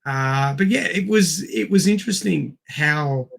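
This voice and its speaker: A man's voice: a little high, expressive, measured pace, vocal fry, a bit bright. A middle-aged man co-hosting a podcast, casually discussing film in an explanatory way and repeating words for emphasis. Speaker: podcast co-host.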